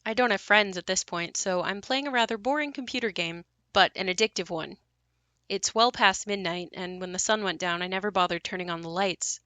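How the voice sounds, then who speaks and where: A woman's voice: mid-range voice, a little fast, bright, forceful tone, no room sound, natural voice. An actress playing a young woman who recalls her haunted family home in a confiding, wry, matter-of-fact monologue for an audio play. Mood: calm, wistful. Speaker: narrator and lead of an audio play. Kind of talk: first-person dramatic monologue.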